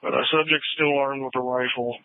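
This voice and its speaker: A man working as a police officer, giving halting, measured reports over police radio on a scanner recording. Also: mid-range voice, steady tone, no room sound, phone-quality sound.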